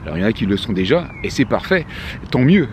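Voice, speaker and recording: A man's voice: mid-range voice, big pitch swings, fast talker, a little mellow, a little hiss or hum. A French man in his fifties, talking casually to camera from a park bench, chatty, with many fillers and restarts. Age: 50s. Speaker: vlogger, life coach. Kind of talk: casual motivational talk to camera.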